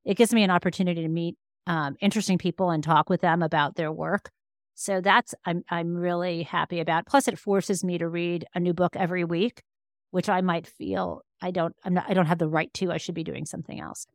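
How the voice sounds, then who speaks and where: A woman's voice: on the low side, fairly even intonation, a little fast, dry recording, clean studio sound. A woman speaking as an expert podcast guest, explaining conversationally and emphatically, often rephrasing as she goes. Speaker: interview guest, law professor and author.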